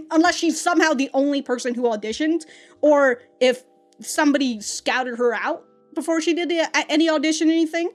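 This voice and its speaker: A woman's voice: high-pitched, brisk, faint music behind. A young woman reviewing an anime episode in casual talk, ranting and swearing, with many fillers and laughs, close to the mic indoors. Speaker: anime reaction YouTuber. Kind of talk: casual reaction commentary. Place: small room indoors with green-screen backdrop.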